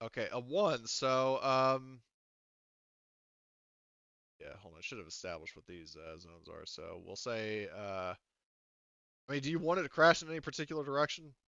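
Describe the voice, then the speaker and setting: Male game master, bright clear voice, informal and sweary, close dry but slightly dull audio. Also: medium pitch, animated, normal speed, long pauses, no room sound. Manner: informal, casually profane. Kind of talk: narrating a tabletop roleplaying game, casual.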